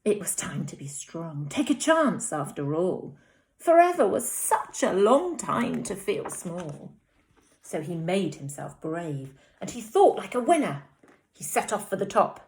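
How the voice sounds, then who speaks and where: A woman with an English accent, a teacher speaking and reading to young children in an expressive, dramatic storytelling way. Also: on the low side, unhurried. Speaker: infant school teacher. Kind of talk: reading a picture book aloud to young children.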